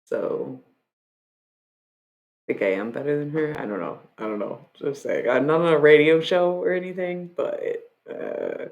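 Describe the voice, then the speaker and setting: Low, gravelly-voiced woman streamer from Michigan. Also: measured pace, lo-fi sound. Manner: joking, self-mocking, freestyles rap lines. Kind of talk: casual live-stream chat.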